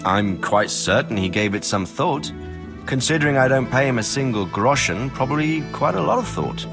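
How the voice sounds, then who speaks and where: A man's voice: medium pitch, sing-song, moderate pace, music in the background. An actor playing a commanding nobleman in a video game, dry and wry yet gracious to his retainer. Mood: wry, inquiring. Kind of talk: dramatic video game dialogue.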